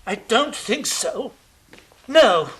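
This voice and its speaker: A man's voice: very high-pitched, big pitch swings, extremely slow, a bit bright, full character voice, rough recording. A man playing a sarcastic, put-upon king. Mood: weary. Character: weary, exasperated middle-aged king.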